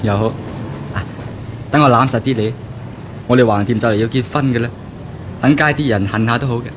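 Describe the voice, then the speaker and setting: A man's voice: medium pitch, steady tone, a little fast, close to an everyday voice. A man with a Hong Kong Cantonese accent playing an affectionate, easygoing young bridegroom-to-be, amiable and earnest, in a 1970s radio drama. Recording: slightly noisy old radio recording.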